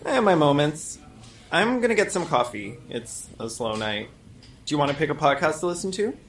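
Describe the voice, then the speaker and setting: A woman's voice: sits low, big pitch swings, brisk, slight background noise. A woman playing a cheeky, opinionated police officer bantering playfully in an audio drama. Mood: gossipy, reflective. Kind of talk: conversational audio drama acting. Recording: roomy, echoing sound.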